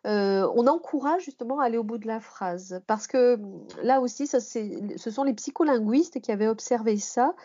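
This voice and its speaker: Female podcast expert, mellow and slightly high, explanatory delivery with rephrasing, dull audio. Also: normal speed. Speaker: guest expert, language teacher and researcher. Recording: muffled, band-limited podcast audio.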